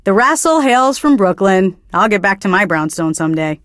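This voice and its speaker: A pretentious young indie radio DJ for a video game. She delivers song patter with earnest, condescending self-importance at a middling pitch. The sound is very dry and close. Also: big pitch swings, normal speed, fairly bright tone, no room sound. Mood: dreamy, enthusiastic. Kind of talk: radio DJ patter between songs.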